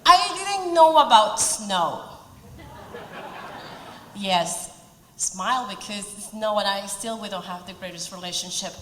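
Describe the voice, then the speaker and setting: A woman's voice: mid-range voice, expressive, takes it slowly, pauses often, bright, forceful tone, a little hiss or hum. A woman in her forties from Peru with a Spanish accent, giving an earnest speech with light humor at a community event. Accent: Peruvian Spanish. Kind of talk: prepared speech. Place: event hall. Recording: roomy hall reverb.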